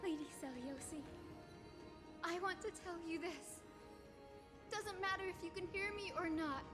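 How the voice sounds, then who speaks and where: A woman voicing a young, gentle heroine in a game, speaking with tender, earnest resolve. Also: extremely high pitch, unhurried. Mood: earnest, hopeful. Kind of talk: dramatic scripted game dialogue. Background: game music.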